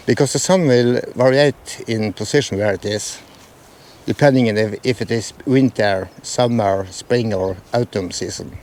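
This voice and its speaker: A man's voice: mid-range voice, darkish tone, a little hiss or hum. A man from Norway speaking English with a Norwegian accent, explaining photo planning in a casual vlog, hesitant with many fillers and restarts. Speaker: photography vlogger.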